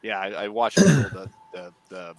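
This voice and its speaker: Male co-host, low but very animated delivery, wry and jokey in casual chat. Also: normal speed, a crowd in the background. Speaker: panel co-host.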